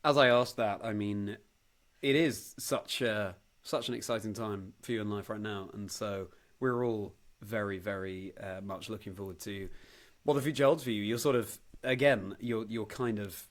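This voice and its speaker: A man's voice: lowish voice, moderate pace. A man hosting an interview chat, speaking politely and appreciatively in wordy, encouraging turns. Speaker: interview show host.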